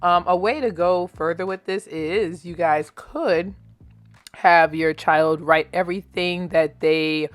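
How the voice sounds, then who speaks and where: A woman's voice: on the low side, takes it slowly, faint music behind. An American woman presenting a children's craft tutorial in a chatty, informal, encouraging way.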